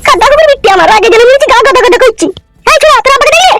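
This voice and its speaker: A woman voicing a scolding, sharp-tongued village woman in a comedy cartoon, with a pitched-up, sped-up voice. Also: lively intonation, very fast, over loud music. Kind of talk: exaggerated cartoon comedy dialogue.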